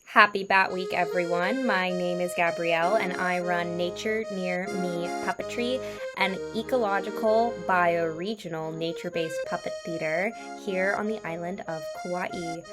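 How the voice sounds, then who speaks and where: A woman hosting a puppet craft tutorial, talking in simple, deliberate phrases as if for a young audience. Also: lowish voice, steady tone, over loud music. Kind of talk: instructional craft tutorial talk.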